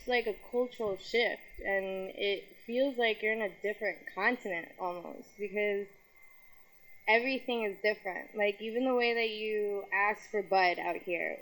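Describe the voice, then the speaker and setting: A young woman originally from Colombia and raised in New York, chatting openly as an interview guest. She laughs often and swears without a second thought. Her pitch sits in an ordinary middle range. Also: fairly bright tone, low-quality recording. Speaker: interview guest, music figure. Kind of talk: casual interview chat.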